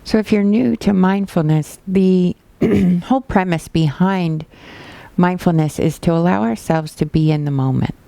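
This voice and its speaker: A woman teaching a guided body scan meditation, giving gentle, unhurried instructions with pauses. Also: lowish voice, gravelly.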